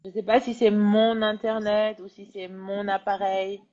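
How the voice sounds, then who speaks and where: A woman, congested and nasal from a cold, hosting a live-stream interview in a relaxed, chatty way with many hesitations. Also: medium pitch, a little slow, dull audio. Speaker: interview host.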